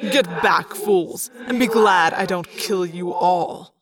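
A woman's voice: on the low side, a crowd in the background. Woman acting a sneering evil alien tyrant. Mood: contemptuous menace. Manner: sneering, slow and contemptuous.

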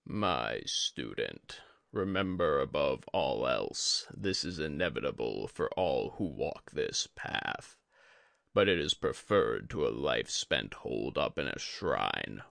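A man voicing a solemn, resigned, tainted old sorcerer and teacher in a slow, grave, measured way for a fantasy audiobook. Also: a bass voice, sing-song, gravelly, a bit bright, no echo, pitched below the performer's own voice, heavily acted. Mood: grave, instructing.

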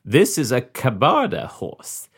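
A man's voice: medium pitch, wildly swooping pitch, slow pace, slight background noise, voice pushed down, full character voice. A man voicing a treacherous male double agent who talks in a smug, sly way.

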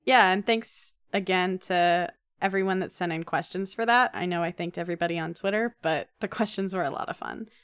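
A woman's voice: fairly low pitch, brisk, hardly any pauses, a bit bright, clear, resonant tone, dry recording, studio quality. A woman co-hosting a podcast in casual, informal, conversational talk. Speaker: podcast co-host.

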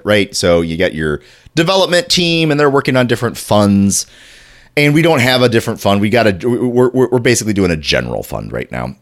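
A man with a deep, low voice, stuffy and congested from a chest cold, hosts a casual podcast. His pitch still swings up and down a lot as he rambles and restarts his sentences. He keeps clearing his throat. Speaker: podcast host.

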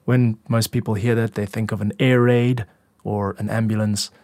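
Low-voiced man explaining, very dry sound. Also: flat delivery, moderate pace, a little mellow, dry recording. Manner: informal, instructive. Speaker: music producer and podcast host. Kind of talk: explanatory tutorial narration.

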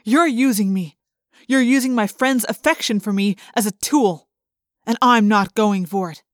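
A woman's voice: medium pitch, slow, mellow, no room sound. A woman plays a defiant teenage boy in an audiobook. He is bitter and angry, speaking in terse statements in a dry recording. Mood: angry defiance.

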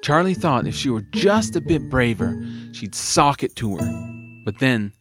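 Guy narrating an audio drama, medium pitch, expressive storyteller, music going underneath. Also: a little slow.

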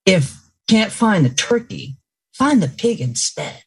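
A woman voicing a slow, commanding old horse with a husky voice. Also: medium pitch, big pitch swings, heavily acted.

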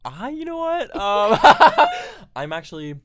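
A woman's voice: fairly high pitch, huge pitch swings, slow. A young woman co-hosting a podcast in casual chat, enthusiastic and supportive. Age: young adult. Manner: enthusiastic, supportive back-channeling. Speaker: podcast co-host.

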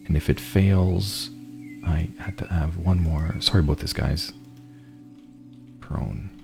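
A man's voice: sits low, steady tone, slow pace, vocal fry, darkish tone, faint music behind. A man running an online tabletop game as game master, narrating casually.

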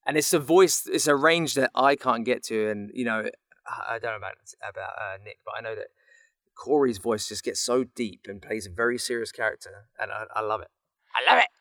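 A man's voice: mid-range voice, brisk, a bit bright, no echo, clean studio sound. A man in a casual chat as a voice actor guest, speaking informally and earnestly.

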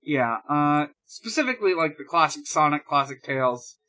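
A man hosting a podcast, chatting casually through news in a halting, hesitant way with drawn-out pauses. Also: a little high, lively intonation, sharp tone. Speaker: podcast host.

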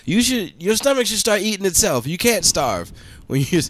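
A man's voice: sits high, sing-song, moderate pace, a bit bright. A man co-hosting a comedy podcast, riffing in crude, colloquial, profane banter. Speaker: podcast co-host.

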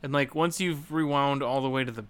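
A man's voice: medium pitch, a little fast, clean tone. A man talking casually and jokily about video games as a podcast host, with informal, sweary phrasing. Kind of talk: casual video game discussion.

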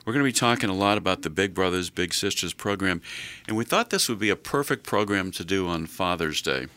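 An older man hosting a radio talk show, chatting slowly in a folksy way with many fillers. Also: low-pitched, expressive, pushed and bright, no room sound.

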